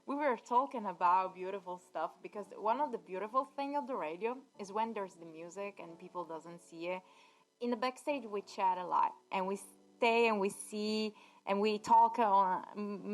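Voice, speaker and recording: A woman's voice: medium pitch, normal speed, poor audio. A woman from Sicily with an Italian accent, hosting a casual radio interview in English and laughing often. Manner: giggly, laughs often between phrases. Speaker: radio host.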